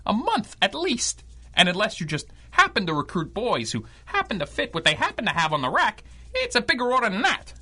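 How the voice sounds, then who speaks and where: An actor playing a middle-aged, wisecracking 1930s crime reporter with a slangy, wry delivery in a radio drama. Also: male voice, sits high, huge pitch swings, a little fast, pushed and bright, full character voice. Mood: wry, animated.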